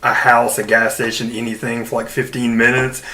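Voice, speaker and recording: A man's voice: medium pitch, level tone, normal speed, pushed and bright. A man co-hosting a podcast, telling informal anecdotes with casual swearing. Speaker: podcast co-host.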